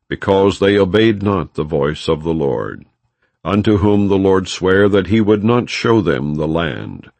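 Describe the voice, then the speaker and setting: A man reading scripture as an audiobook narrator, in a solemn, measured, non-dramatized style. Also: low-pitched, creaky, a little mellow, dry recording.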